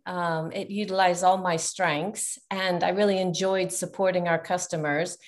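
A middle-aged woman with an American accent, a business founder guest on a podcast call, telling her story in an animated, chatty way. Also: medium pitch. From United States. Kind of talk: casual interview over a call.

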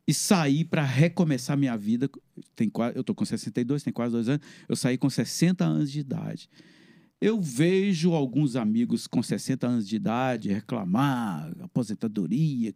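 Mellow-voiced man in his sixties. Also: mid-range voice, animated, no echo, clean studio sound. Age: 60s. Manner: plain-spoken, evasive, drawn-out storytelling. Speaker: guest. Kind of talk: casual interview talk.

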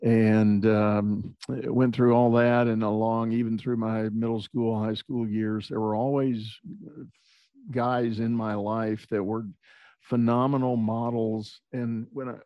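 A man's voice: fairly low pitch, little pitch movement, slow, no echo. A middle-aged man from Texas, a podcast guest, talking reflectively about his upbringing with many fillers and restarts. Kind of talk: conversational interview. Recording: dull lo-fi dry sound.

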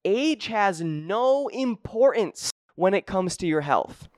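A man's voice: sits high, lively intonation, takes it slowly, no echo, studio quality. A young man hosting his own podcast as a fitness coach, talking energetically and emphatically and repeating key phrases. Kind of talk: motivational solo podcast talk.

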